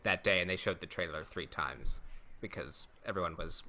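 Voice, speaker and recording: A man with a fairly low, very bright voice talks casually as a podcast host. He tells stories in a halting way, pausing to find his words, with a crisp forward edge to the tone. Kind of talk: casual chat, anecdotes.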